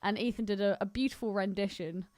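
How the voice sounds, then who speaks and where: A woman's voice: medium pitch, steady tone, fast talker, a little mellow, dry recording. A woman co-hosting a podcast in casual comic banter, sweary, teasing and giggly. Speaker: podcast co-host.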